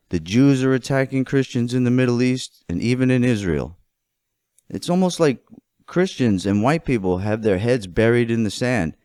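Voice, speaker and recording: Low, smooth-voiced man giving opinionated commentary. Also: darkish tone, no room sound, studio quality. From United States. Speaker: political commentator. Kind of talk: opinionated monologue.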